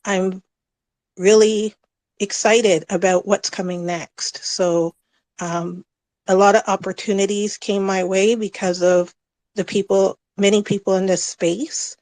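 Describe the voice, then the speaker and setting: A woman thanking listeners in an online chat, speaking in a grateful, soft-spoken, halting way. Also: mid-range voice, level tone, no room sound, low-quality recording. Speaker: guest speaker. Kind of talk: casual chat.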